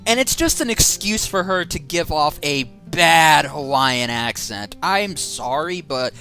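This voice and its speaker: A woman's voice: deep voice, expressive, takes it slowly, bright, forceful tone, soft background music. A woman giving a casual online film review, exasperated and sarcastic as she rants.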